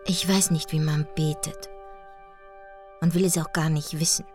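A woman's voice: deep voice, fairly even intonation, normal speed, a little mellow, soft background music, close to an everyday voice. An actress playing a tormented, bitter young woman in a radio drama monologue, often dropping into a whisper. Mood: desperate, defiant.

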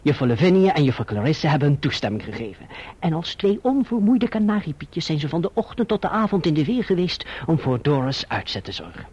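Young gentleman in love, earnest and polite, animated delivery, husky tone, radio play. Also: on the high side, a little fast. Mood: reflective, lyrical. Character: eager, courteous young gentleman in love, reflective narrator. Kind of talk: radio drama acting and literary narration. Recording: muffled, dull old radio recording.

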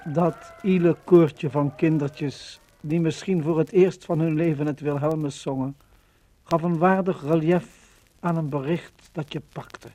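A male narrator with a high-pitched voice reads a vintage radio documentary. His delivery is solemn and measured. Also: steady tone, dark tone.

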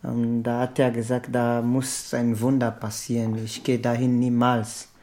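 A man's voice: medium pitch, completely flat delivery, unhurried, warm tone. A man retelling a story in casual, hesitant German, stopping often to search for words. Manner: hesitant, many fillers and restarts in non-native German.

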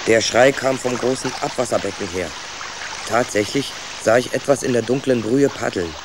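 Young rat hero and storyteller, played by a man: curious, stubborn, medium-pitched, children's radio drama with ambient effects behind. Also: moderate pace, noisy background, lightly acted. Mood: absorbed storytelling. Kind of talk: first-person storytelling in children's radio play.

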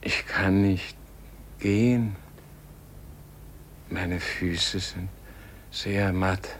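An actor playing a frail, weary and bewildered old man in a radio play, speaking in halting, broken phrases. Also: low voice, fairly even intonation, slight background noise. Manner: slow, halting delivery in short broken phrases. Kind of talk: German radio play acting.

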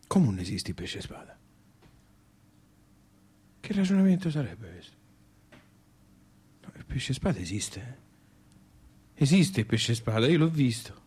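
An actor playing a weary, put-upon, plaintive man in a radio play, talking in a halting, colloquial way with a Tuscan Italian accent. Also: medium pitch, big pitch swings, darkish tone, breathy edge, exaggerated voice. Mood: indignant. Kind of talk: theatrical radio drama dialogue.

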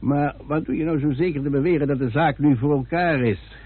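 An actor playing an impatient, sceptical coroner with a dry, probing manner in an old Dutch radio drama. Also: male voice, fairly high pitch, brisk, slightly rough. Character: impatient, sceptical male coroner questioning an inspector. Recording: muffled tinny old radio recording.

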